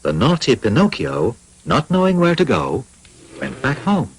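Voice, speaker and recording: An actor playing the storybook narrator of a children's cartoon, reading scripted narration. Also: male voice, medium pitch, huge pitch swings, a little slow, noisy background. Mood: storytelling. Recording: loud music behind the voice.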